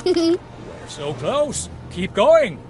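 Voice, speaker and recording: High, theatrical cartoon superhero potato. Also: male voice, sing-song, extremely slow, darkish tone, a little hiss or hum, heavily acted. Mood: urgent, encouraging. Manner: theatrical, grandly encouraging. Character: heroic booming potato superhero movie star, jolly and theatrical. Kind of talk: children's cartoon game voice acting. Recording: music behind the voice.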